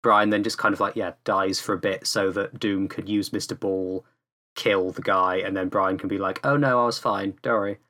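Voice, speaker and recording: A man's voice: low voice, fairly even intonation, normal speed, sharp tone. Rambling, conversational man on a podcast. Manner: hesitant, rambling phrasing with frequent "like". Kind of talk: casual podcast discussion.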